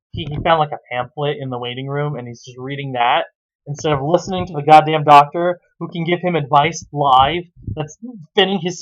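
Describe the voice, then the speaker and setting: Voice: medium pitch, a bit bright, highs cut off. A sarcastic, exasperated reviewer who swears freely while giving casual commentary on a story.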